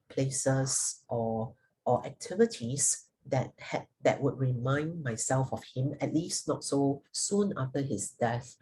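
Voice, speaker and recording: A middle-aged Singaporean woman shares a reflective personal talk. Her voice is low, dark and mellow, with a noticeably husky edge. She chooses her words deliberately, often halting for thoughtful pauses. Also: rough recording. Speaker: guest speaker sharing personal experience.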